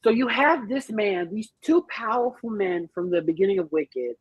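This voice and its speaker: A woman's voice: medium pitch, expressive, normal speed, slightly rough, no room sound, muffled recording. A woman on a podcast panel telling anecdotes in casual chat, emphatic and dramatic.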